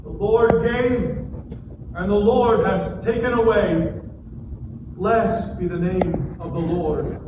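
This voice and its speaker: A man's voice: sits high, slow pace, pauses often. A man playing Job, a devout and solemn patriarch, in a dramatic scripture reading. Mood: grieving, devout. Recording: distant echoey hall with noise.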